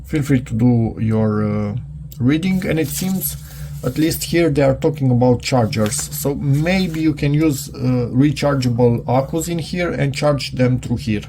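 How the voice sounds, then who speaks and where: Hesitant man with a creaky voice. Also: mid-range voice, noisy background. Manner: hesitant, halting, muttering to himself. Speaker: product reviewer. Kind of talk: casual unscripted hands-on review, thinking aloud while reading a manual.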